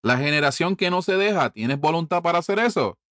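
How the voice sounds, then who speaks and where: Puerto rican guy ranting hard, cussing a lot, kinda high voice, dry close sound. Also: lively intonation, brisk, a bit bright, no echo. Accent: Puerto Rican Spanish. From Puerto Rico. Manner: profane, ranting, rhetorical questions. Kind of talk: heated political monologue.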